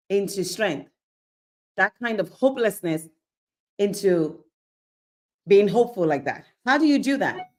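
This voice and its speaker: A woman from Nigeria giving a sermon-style Bible teaching, asking rhetorical questions, acting out little scenes and checking in with "okay?". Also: fairly low pitch. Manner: animated, keeps checking in with "okay?".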